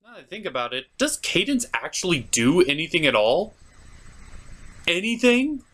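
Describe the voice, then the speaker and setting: A man's voice: on the high side, big pitch swings, moderate pace, a bit bright. A man hosting a cartoon review, delivering scripted comedic narration with theatrical, sarcastic asides. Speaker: cartoon reviewer, show host.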